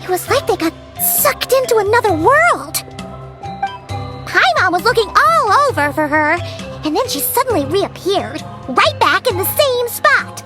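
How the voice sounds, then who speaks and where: Tiny fairy mascot from a game, super squeaky, bouncy swoopy delivery, cutesy and excitable. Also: female voice, unhurried, over loud music, full character voice. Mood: explanatory, puzzled. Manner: cutesy, refers to self by name. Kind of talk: video game voice acting.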